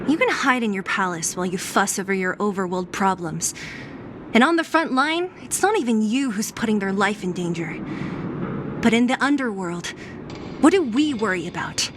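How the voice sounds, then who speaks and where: A woman's voice: mid-range voice, animated, moderate pace, noisy background, natural voice. A woman playing a brash, tough young underworld woman in a game cutscene, blunt and sarcastic. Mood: irritated, mocking. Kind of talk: dramatic game cutscene voice acting.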